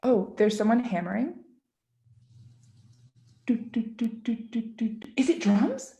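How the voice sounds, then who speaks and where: A woman children's book author talking casually and interactively to children on a video call, expressive and encouraging, often asking questions. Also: fairly high pitch, a little slow. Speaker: children's book author giving a read-aloud.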